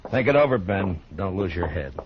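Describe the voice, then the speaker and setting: An actor playing a firm, steady frontier marshal, measured and laconic, in a 1950s western radio drama. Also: male voice, sits low, background noise. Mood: calm warning. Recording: dull old radio recording, faint music.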